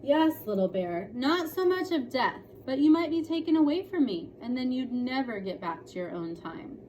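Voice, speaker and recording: A woman's voice: high voice, big pitch swings, normal speed, darkish tone, voice pushed up. A woman voicing an earnest, emphatic schoolboy in a storybook reading. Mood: serious, cautioning. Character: earnest schoolboy, indignant and protective of his secret. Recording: clearly noisy room recording.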